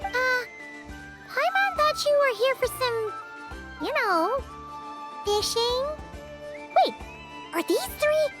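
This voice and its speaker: Squeaky tiny fairy companion. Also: female voice, lively intonation, slow pace, long pauses, exaggerated voice. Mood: curious, hesitant. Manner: speaks of self in third person. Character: tiny childlike female fairy companion, chirpy and curious. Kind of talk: video game voice acting. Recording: music behind the voice.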